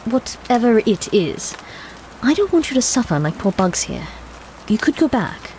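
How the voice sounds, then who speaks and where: Actress as whimsical time-traveller, higher female voice, very animated, wry, observant, audio drama, faint rainy noise. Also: moderate pace, low-quality recording. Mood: alert, wry.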